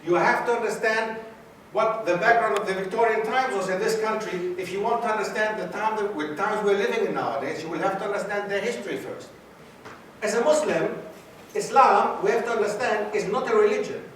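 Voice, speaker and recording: Male guest lecturer, fairly high voice, quizzes the crowd, recorded far off in a very reverberant hall. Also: brisk, a bit bright, a little hiss or hum. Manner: questions the audience, repeats key points for emphasis. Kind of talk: university society lecture.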